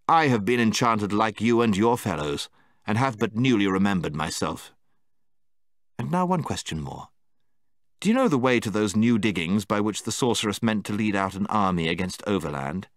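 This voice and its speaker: A man's voice: lowish voice, lively intonation, moderate pace, no room sound, studio quality, lightly acted. A man voicing a noble young prince with courteous, old-fashioned speech. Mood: gracious.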